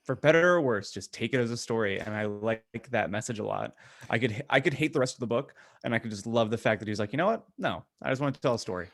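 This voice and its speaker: A man's voice: medium pitch, animated, fast, no echo, studio quality. A man co-hosting a podcast in casual chat, rambling along with enthusiasm. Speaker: podcast co-host.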